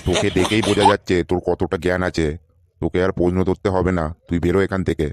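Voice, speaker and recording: Man acting a deep, irritable, rapid-fire boss. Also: flat delivery, no room sound, clean studio sound, lightly acted. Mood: stern, questioning. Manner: scolding, dismissive, exasperated. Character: irritable, sarcastic male boss interviewing job seekers. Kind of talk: comedy cartoon dialogue.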